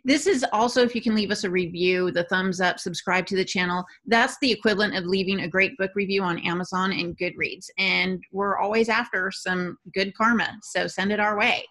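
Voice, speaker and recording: A woman's voice: medium pitch, fairly quick, few pauses, fairly bright tone. A woman moderating a podcast, moving between a prepared introduction and wry, teasing banter with her guests.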